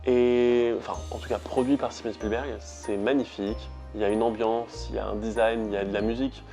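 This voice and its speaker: A man's voice: on the low side, flat delivery, a little fast, dark tone, faint music behind. A young man with a French accent talking casually to viewers as a YouTube host, rambling with frequent hesitations. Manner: chatty, rambling with frequent "euh". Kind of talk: casual vlog talk to viewers.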